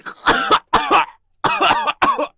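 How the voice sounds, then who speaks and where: A man voicing a sick, dying, grieving survivor in a comic dub, weak and halting. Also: very high voice, huge pitch swings, gravelly, sharp tone, no echo, clean studio sound, heavily acted. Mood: pleading. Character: Jim, dying bitten man, pleading, grieving.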